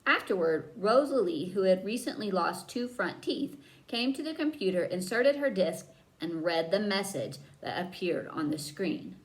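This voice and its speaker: Expressive woman reading a children's story. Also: on the low side, low-quality recording. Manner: dramatic emphasis, drawn-out key words. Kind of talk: expressive read-aloud narration for children.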